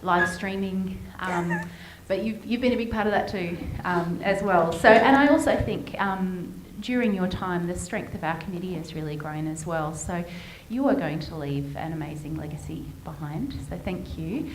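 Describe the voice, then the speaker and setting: A woman gives a thank-you speech to a departing chair, pausing often on um. The room echoes around her. Clear background noise comes through. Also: on the low side. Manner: halting, many ums. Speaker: committee member presenting a farewell. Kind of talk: speech at an event. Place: event room.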